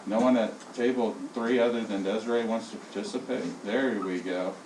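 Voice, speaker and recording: A male elementary school teacher leading his class in call-and-response, swinging his voice high and low as he drills them. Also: fairly even intonation, moderate pace. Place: classroom, indoors. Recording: echoey classroom from far off, with noise.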